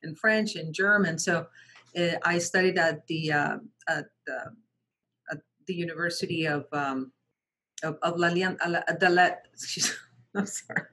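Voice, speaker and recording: Interview guest: woman from Mexico, native Spanish speaker, low and breathy, halting self-corrections. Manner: frequent restarts and repeated words, animated quoting of others. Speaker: guest, translation company founder. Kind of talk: conversational interview storytelling.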